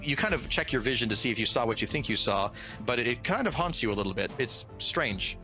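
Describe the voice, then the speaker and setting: Male game master, mid pitch, bright and forceful storytelling delivery, casual asides, faint music underneath. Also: lively intonation, fast talker, low-quality recording. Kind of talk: narrating a tabletop fantasy game.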